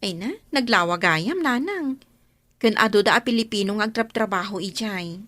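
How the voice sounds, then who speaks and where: A woman's voice: mid-range voice, animated, moderate pace, fairly bright tone, no room sound, natural voice. A woman playing an anxious young wife and mother in an Ilocano Filipino radio drama, with music behind her. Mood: hopeful, reassuring.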